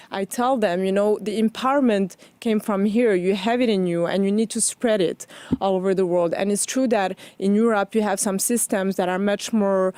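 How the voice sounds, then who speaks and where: Woman from Morocco, halting interview guest. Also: medium pitch, a little mellow, no room sound. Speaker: interview guest, foundation founder. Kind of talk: conversational interview.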